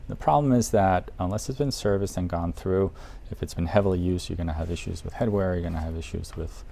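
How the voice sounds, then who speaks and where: Man, shop presenter, dark relaxed tone, creaky fry, informal conversational product guide, enthusiastic about gear. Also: deep voice, fast talker. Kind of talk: casual product guide to camera. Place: audio shop, indoors. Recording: noticeable background noise, room ambience.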